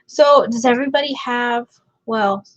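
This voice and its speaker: A woman's voice: fairly high pitch, a little slow, dull audio. A woman hosting a livestream from home, chatting casually with viewers while her voice is scratchy and fading, with lots of laughter and fillers. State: scratchy, half-lost voice. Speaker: livestream host. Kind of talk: casual chat reading viewer comments.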